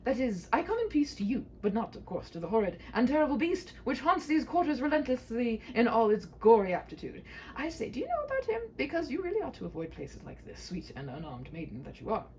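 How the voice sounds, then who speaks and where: A woman's voice: a little high, sing-song, a little fast, darkish tone, slight background noise, pitched above the performer's own voice, poor audio. A woman voicing a gallant, smitten male knight in a comic fairy tale, pompous and declamatory. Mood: gallant, flustered.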